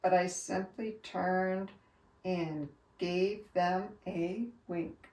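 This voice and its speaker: A woman reading a children's storybook aloud like a teacher, with expressive, emphatic and instructive delivery. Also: fairly low pitch, very slow. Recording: echoey room, distant from mic.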